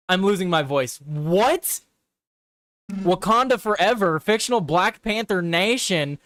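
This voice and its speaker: A young man hosting a casual live quiz show, talking in a smooth, clean voice. He reacts to each headline with chatty repetition and the odd swear word. The sound is close and dry, with no room around it. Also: high voice, no room sound. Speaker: livestream host.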